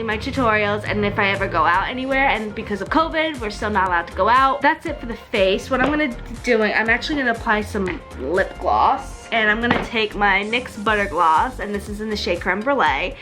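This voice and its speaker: A woman's voice: mid-range voice, lively intonation, moderate pace, few pauses, over music. A young woman in her twenties chatting casually through her everyday makeup tutorial, peppering her talk with "like". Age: young adult. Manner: chatty, frequent "like" fillers. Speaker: beauty vlogger, esthetician.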